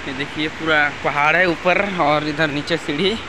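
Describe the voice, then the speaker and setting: Higher-voiced young man vlogging outdoors. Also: steady tone, moderate pace. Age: young adult. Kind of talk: casual travel vlog narration. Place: outdoors at a forested waterfall. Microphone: phone at arm's length. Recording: outdoor wind and waterfall noise.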